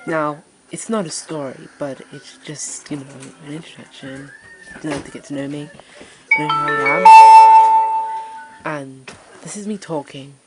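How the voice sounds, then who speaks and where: A woman with a deep voice introducing her story reading channel in a nervous, hesitant way, full of fillers. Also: fairly even intonation, measured pace, over loud music, low-quality recording. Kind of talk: casual self-introduction to camera.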